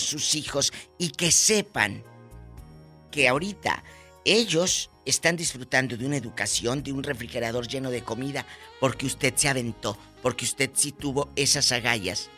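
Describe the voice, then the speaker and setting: A man's voice: mid-range voice, pushed and bright. A man presenting a radio show, addressing listeners directly in an emotive, sentimental way. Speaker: radio host. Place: radio studio.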